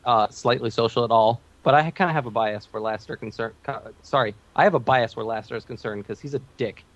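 A man's voice: medium pitch, fast talker, muffled recording. A man chatting casually as a podcast panelist, with wry, self-mocking humour. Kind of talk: casual TV recap chat.

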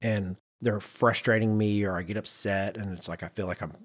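A man's voice: on the low side, fairly even intonation, normal speed. A middle-aged man hosting a podcast in casual discussion, acting out little imagined quotes as he explains. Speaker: podcast host.

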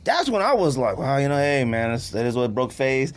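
A man's voice: mid-range voice, big pitch swings, a little fast. A man on a sports podcast chatting casually and reacting to his co-hosts, with faint noise behind him.

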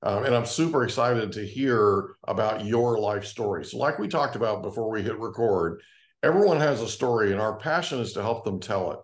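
A man's voice: lowish voice, animated, moderate pace, reverberant. A man hosting an interview podcast, welcoming his guest in a friendly, encouraging way. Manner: friendly, encouraging, short backchannels. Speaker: podcast host.

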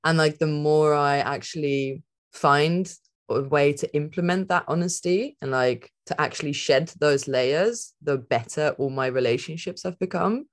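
A woman's voice: low voice, measured pace. A woman speaking as a podcast guest, thinking aloud in a rambling, reflective way, with many "like" fillers and frequent laughter. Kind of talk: reflective conversational interview.

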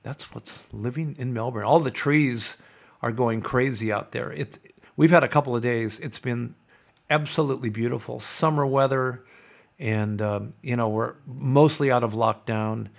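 A middle-aged man runs his podcast as a sarcastic, opinionated monologue. His voice is gravelly and fry-laden, and he sounds stuffed up and half-hoarse from congestion. His pitch sits at an ordinary middle height for a man. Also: normal speed. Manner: sarcastic, mock-chanting opponents. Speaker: podcast host.

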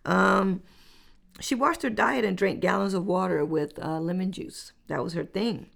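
A woman hosting a relaxed podcast chat at a medium pitch. She reads out facts in an easygoing, conversational way, and her voice has a gravelly, creaky texture. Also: a little slow. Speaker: podcast host. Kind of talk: casual chat.

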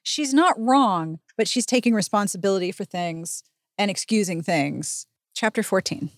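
A woman's voice: medium pitch, animated, normal speed, dry recording, clean studio sound. A woman hosting a casual, chatty book recap podcast, speaking in an emphatic, sarcastic and sweary way. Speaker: podcast host recapping a novel.

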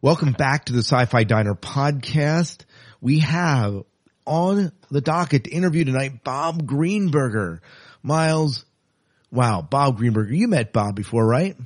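A man with a medium-pitched voice speaks as a podcast host. His delivery is casual and conversational. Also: expressive, a little slow, faint music behind.

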